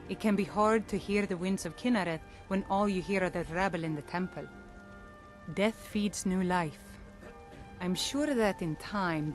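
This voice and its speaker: An actress playing a devout temple priestess in a fantasy game, speaking in an earnest, measured, formal way. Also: female voice, fairly low pitch, lightly acted. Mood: humbled, hopeful. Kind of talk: scripted fantasy game dialogue.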